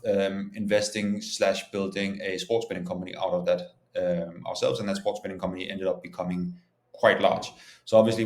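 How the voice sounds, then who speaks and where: A man from Denmark with a low-pitched voice answers interview questions in an informal, explanatory way. The recording is noticeably roomy and echoey. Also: darkish tone. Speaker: startup founder and CEO, interview guest.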